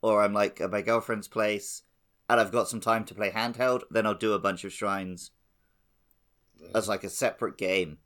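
A man's voice: fairly low pitch, fairly even intonation, moderate pace, a bit bright. A man chatting casually about a video game as a podcast host.

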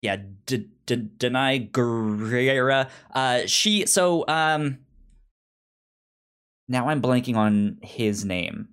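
A man co-hosting a casual pop culture podcast, speaking haltingly and often stammering over words. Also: mid-range voice, a bit bright, clean tone. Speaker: podcast co-host.

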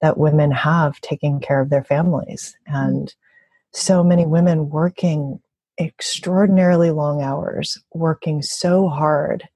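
A woman's voice: low voice, fairly even intonation, a little slow, mellow, husky, no room sound. A woman giving thoughtful, reflective answers in a conversational interview, as an activist and organizer. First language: bilingual, also speaks Mandarin.

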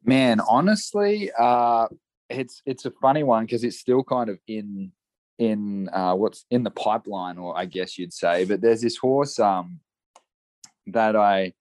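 A man's voice: fairly low pitch, unhurried, a little mellow, no echo. A young Australian man chatting casually on a podcast, telling rambling stories full of restarts and fillers. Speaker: guest horseman.

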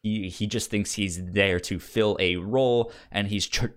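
A podcast co-host in a casual comics discussion, halting and often repeating or stumbling over words. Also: low voice, steady tone.

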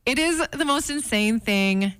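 A woman's voice: fairly high pitch, animated, a little slow, fairly bright tone, clear, resonant tone. A middle-aged woman in casual, humorous talk show chat, telling gossipy stories with emphatic exaggeration. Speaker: radio talk show regular.

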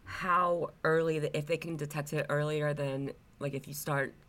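A woman's voice: low-pitched, steady tone, normal speed, a bit bright. A woman co-hosting a casual podcast, her voice scratchy from allergies, chatting along and agreeing often. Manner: chatty, agreeable, backchannels a lot. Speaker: podcast co-host.

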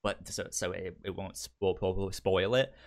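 A man's voice: sits low, steady tone, moderate pace. A man co-hosting a podcast in casual discussion, stuttering often as he repeats sounds and syllables. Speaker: podcast co-host.

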